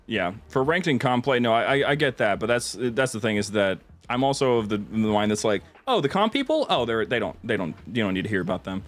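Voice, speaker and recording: A man's voice: lowish voice, very animated, fairly quick, few pauses. A man chatting casually and giving his opinions on a gaming stream. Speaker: stream host.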